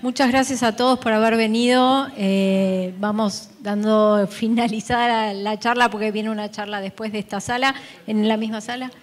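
Higher-voiced Argentine woman moderating. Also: fairly even intonation, moderate pace. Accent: Argentine Spanish. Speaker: panel moderator. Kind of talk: moderating a conference panel. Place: large conference hall stage.

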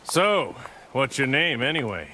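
An actor playing an earnest, battle-weary squad leader in video game cutscenes, urgent and commanding. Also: male voice, medium pitch, very animated, measured pace, bright, forceful tone, slight background noise. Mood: urgent, firm.

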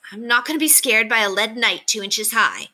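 A woman's voice: a little high, expressive, normal speed, sharp tone, voice pushed up, full character voice. A woman voicing an eager, imaginative, polite young boy in a storybook. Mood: bold.

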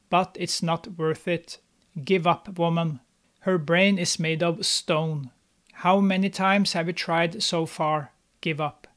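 Higher-voiced man narrating a snarky movie recap. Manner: snarky, mocking commentary. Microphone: studio mic, on camera.

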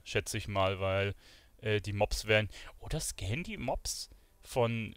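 A man's voice: mid-range voice, fairly bright tone, no room sound. A man giving casual let's play commentary, musing aloud in slangy language. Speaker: gaming streamer playing and commenting.

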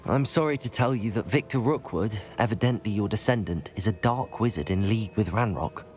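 A man's voice: fairly low pitch, fairly quick, a little mellow, faint music behind, lightly acted. A young male actor playing a polite, earnest wizard student in a video game. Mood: respectful, serious. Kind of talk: video game cutscene dialogue.

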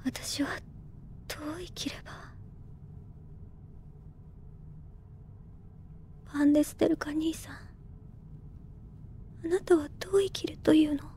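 A woman playing a reserved, troubled young woman who speaks softly in a Japanese anime audio drama. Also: high voice, fairly even intonation, normal speed, slight background noise. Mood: anguished.

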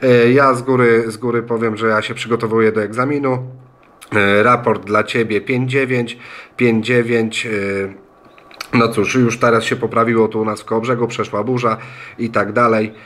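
A man's voice: mid-range voice, little pitch movement, moderate pace, fairly bright tone. A man in a radio club room, talking on an amateur radio contact in a friendly, hesitant way and spelling call signs. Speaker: ham radio student operator. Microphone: phone recording in the room.

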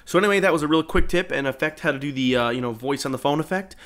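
A man's voice: on the high side, rapid-fire, fairly bright tone. A man narrating a video editing tutorial in an informal, explanatory way. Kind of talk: casual screen-recorded tutorial narration. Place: indoors, voice-over at a computer.

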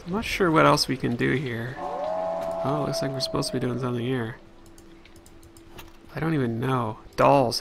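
A man's voice: mid-range voice, gravelly, fairly bright tone, slight background noise. A man hosting a let's play, chatting casually and thinking aloud as he plays. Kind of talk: casual gameplay commentary.

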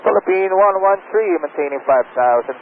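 A man speaking as an airline pilot over aviation radio, giving procedural, halting readbacks and reports. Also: sits high, animated, narrow, tinny audio. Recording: noisy, band-limited air traffic radio.

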